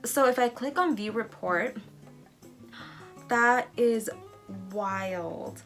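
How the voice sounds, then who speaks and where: Excitable young woman vlogger, faint music. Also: fairly high pitch, extremely slow. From United States. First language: Spanish. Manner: excitable, reads results aloud with surprised asides. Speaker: travel vlogger. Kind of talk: casual vlog reaction.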